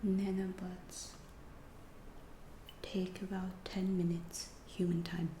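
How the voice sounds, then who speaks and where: A woman playing a calm, curious female alien who studies humans, whispering slowly and deliberately in an ASMR roleplay. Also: medium pitch, roomy sound, a little hiss or hum, low-quality recording. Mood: calm, clinical. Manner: hushed, slow, deliberate, clinical phrasing.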